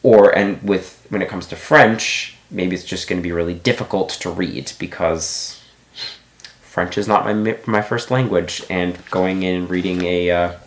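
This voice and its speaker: A man's voice: deep voice, steady tone, measured pace, roomy sound. A man giving a casual book review, thinking aloud with many restarts and asides.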